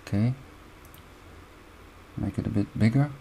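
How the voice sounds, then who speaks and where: A young man from the Netherlands with a Dutch accent, walking through a software tutorial step by step with short pauses. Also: on the low side, gravelly, a little hiss or hum. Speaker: video editing tutor. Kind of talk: screen-recorded software tutorial.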